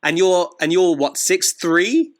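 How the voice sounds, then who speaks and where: A man's voice: sits high, animated, slow. A man interviewing a guest, mostly giving short replies and asking brief questions. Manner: short acknowledgements, quiet laughs.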